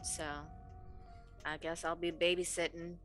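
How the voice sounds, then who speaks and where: A woman's voice: lowish voice, level tone, a little slow, a bit bright, soft background music. A woman playing a tabletop game, speaking casually in character with other players.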